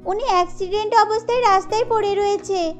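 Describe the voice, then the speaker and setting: Cartoon role: woman voicing a sincere poor little girl, very high voice, soft music behind. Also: steady tone, fairly quick. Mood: earnest. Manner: earnest, pleading. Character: poor young girl, earnest and kind. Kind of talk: Bengali cartoon dubbing.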